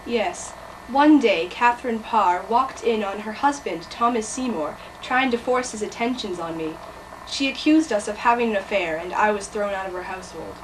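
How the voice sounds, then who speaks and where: Teenage girl, school sketch, deadpan, distant mic, faint noise. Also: medium pitch, normal speed. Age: teens. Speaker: student actress. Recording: distant mic, noisy room.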